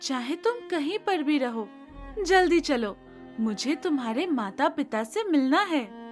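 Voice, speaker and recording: A woman voicing an earnest, polite young city-bred bride in an animated moral story. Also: high-pitched, a little slow, soft background music. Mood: devoted, eager.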